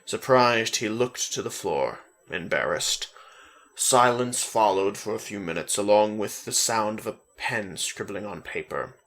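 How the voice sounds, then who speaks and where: A man's voice: fairly low pitch, animated, unhurried, a bit bright. A man giving a dramatic reading of fiction as the narrator of a story. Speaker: story reader.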